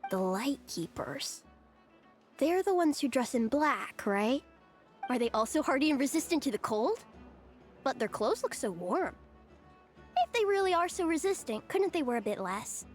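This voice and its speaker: A woman's voice: high-pitched, big pitch swings, normal speed, soft background music. A woman voicing a curious, naive little child in a video game class. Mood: curious, thoughtful.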